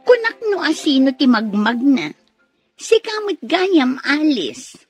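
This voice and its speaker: An actress playing a nosy, jealous village gossip in a radio drama, with an exaggerated, prying delivery. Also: female voice, high voice, very animated, slow pace, no echo, soft background music, heavily acted. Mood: prying, suspicious.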